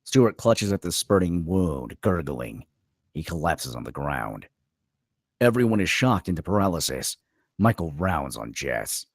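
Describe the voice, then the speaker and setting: A man's voice: low voice, big pitch swings, measured pace, no echo, clean studio sound. A man reading stage directions as dramatic scripted narration at a screenplay table read.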